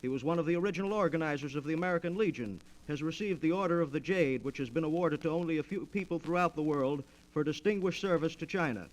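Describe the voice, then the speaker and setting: A man's voice: fairly high pitch, fast talker, few pauses, rough recording. A man hosting a 1940s radio program, making formal, polished introductions on a vintage transcription disc. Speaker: radio host introducing guests. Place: radio studio, around a table.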